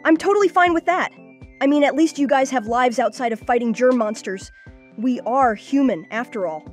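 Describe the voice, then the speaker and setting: Woman voicing Max, a high-voiced plucky boy hero. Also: moderate pace, close to an everyday voice. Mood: kind, reassuring. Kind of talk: cartoon comic dub acting. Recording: music playing under the voice.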